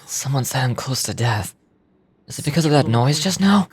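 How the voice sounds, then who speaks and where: A man's voice: mid-range voice, lively intonation, moderate pace, breathy edge. A man voicing an earnest, brooding young man in a video game. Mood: pensive. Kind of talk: scripted video game voice acting. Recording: game audio with background music.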